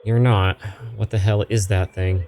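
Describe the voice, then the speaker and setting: A man's voice: lowish voice, fairly even intonation, a little slow, a little mellow, slight background noise. Man reading as blunt, skeptical cousin in a game. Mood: startled, annoyed. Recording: music behind the voice.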